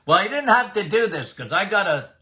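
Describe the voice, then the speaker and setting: Loud, blunt older doctor. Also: male voice, sits high, big pitch swings, normal speed. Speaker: doctor and podcast host. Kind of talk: casual health talk monologue.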